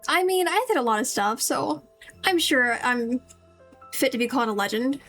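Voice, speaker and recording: A woman's voice: high-pitched, moderate pace, fairly bright tone, soft background music. A woman streamer giving casual running commentary on a game. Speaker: live streamer, VTuber.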